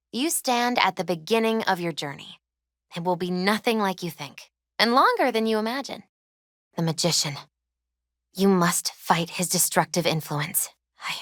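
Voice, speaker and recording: An actress voicing a gentle, spiritual young woman who reads tarot in a video game, speaking softly and in measured, wistful phrases. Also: mid-range voice, no echo, studio quality. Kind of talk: video game character dialogue and tarot readings.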